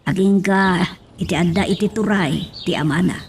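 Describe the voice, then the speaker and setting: A woman's voice: on the low side, moderate pace, a little hiss or hum, lightly acted. A woman playing a protective, resigned middle-aged mother in an audio drama, motherly and cautioning. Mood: worried, cautioning.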